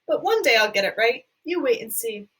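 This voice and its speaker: An eccentric male candy maker from a storybook reading, fussing and sighing over his failed inventions, as a woman reads him. He speaks quickly and fretfully, then turns apologetic. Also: on the high side, animated, a bit bright, slightly rough. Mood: fussy.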